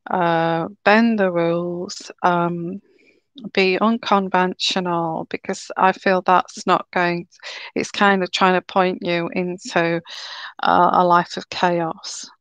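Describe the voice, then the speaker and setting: A woman giving a live tarot reading in a slow, soothing, reassuring way, her throat a little sore and croaky. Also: fairly low pitch, no room sound. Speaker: tarot reader.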